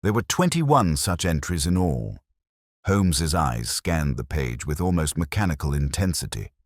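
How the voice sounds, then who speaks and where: A man narrating a scripted story in a measured, dramatic, atmospheric way. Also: extremely low pitch, big pitch swings, dry recording, studio quality. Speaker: audiobook narrator.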